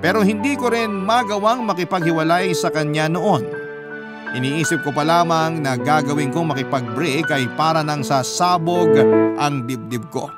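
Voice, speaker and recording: A man's voice: fairly high pitch, normal speed. A man with a Filipino accent narrating a letter as a dramatic first-person story for radio. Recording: prominent music under the narration.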